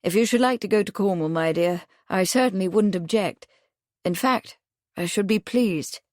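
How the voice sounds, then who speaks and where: Calm polite gentleman, as a woman reads him, measured and earnest, dry close audio. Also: medium pitch, no room sound, close to an everyday voice. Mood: placating.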